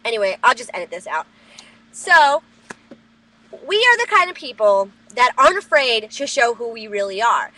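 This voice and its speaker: Loud, high-pitched woman ranting. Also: sing-song, normal speed, poor audio. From New Jersey. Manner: loud, profane, heated ranting. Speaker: vlogger. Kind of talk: webcam rant. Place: at work. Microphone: webcam.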